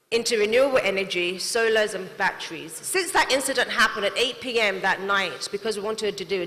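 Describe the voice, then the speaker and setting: Bright-voiced woman speaking in a reverberant hall. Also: mid-range voice. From not Nigeria. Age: young adult. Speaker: CEO and manufacturer giving a talk. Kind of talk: prepared speech to an audience.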